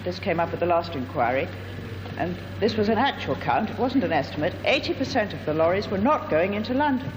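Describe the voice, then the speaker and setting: High male voice chatting out a point at a meeting, echoey, noisy. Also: big pitch swings, brisk. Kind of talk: informal remarks at a meeting.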